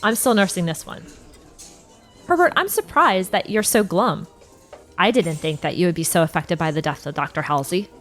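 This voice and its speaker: A woman's voice: on the low side, expressive, moderate pace, fairly bright tone, soft background music. A woman playing a concerned, familiar friend in an audio drama.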